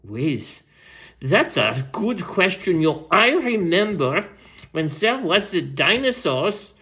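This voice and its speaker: A performer voicing a rambling, forgetful old storyteller who speaks haltingly and repeats words in a fanciful children's tale. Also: mid-range voice, big pitch swings, fairly bright tone, exaggerated voice. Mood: muddled, apologetic. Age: older. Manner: halting, repetitive, wandering off on tangents.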